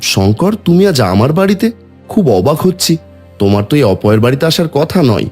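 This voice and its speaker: A man's voice: medium pitch, very animated, brisk, darkish tone, faint music behind. A man voicing a kind, shrewd and humble woodcutter hero in a cartoon, assured as he explains himself. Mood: surprised.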